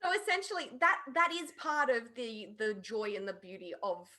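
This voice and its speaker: A woman's voice: on the high side, sing-song, moderate pace, sharp tone. A woman, sounding middle-aged, chatting casually as a podcast panelist.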